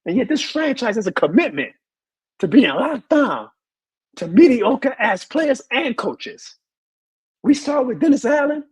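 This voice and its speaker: A hoarse man who has lost his voice, hosting a live sports show and ranting with emphatic repeated lines. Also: extremely high pitch, big pitch swings, measured pace, dry recording. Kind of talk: live sports rant and chat reading.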